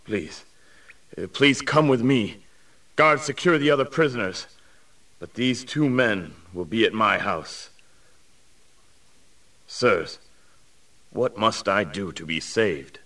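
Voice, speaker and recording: A forceful, anguished Roman jailer in a dramatized story. His delivery is unhurried and theatrical, with big swings of pitch and an excitable temper. The voice sits a little back from the microphone. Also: far from the mic, rough recording. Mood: shaken, pleading. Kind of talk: audio drama acting.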